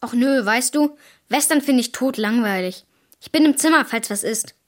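Casting: cool, sarcastic young boy for a children's audio play, high youthful voice. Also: normal speed, fairly bright tone. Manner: casual, sarcastic. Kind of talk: children's radio drama acting.

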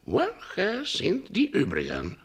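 A man's voice: sits high, huge pitch swings, takes it slowly, breathy edge, full character voice. An actor playing a stern, pedantic male professor in a radio drama, with a superior, clipped way of questioning. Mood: probing, superior.

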